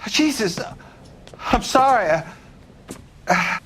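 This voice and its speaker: An actor playing a stammering, distraught 1940s husband in a video game, agitated and speaking. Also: male voice, very high-pitched, sing-song, slow, bright, forceful tone, slight background noise, exaggerated voice.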